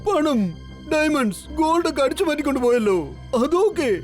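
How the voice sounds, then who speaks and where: A man's voice: very high-pitched, expressive, fairly quick, soft background music. A man voicing a nervous, panicky, whiny fellow in a children's cartoon, fretting and exclaiming. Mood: fretful. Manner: fretful, exclaiming. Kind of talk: dubbed children's cartoon acting.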